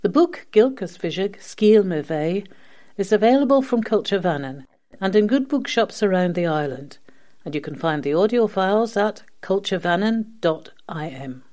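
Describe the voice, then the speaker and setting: A woman's voice: mid-range voice, animated, moderate pace, darkish tone. A woman presenting a scripted radio magazine programme.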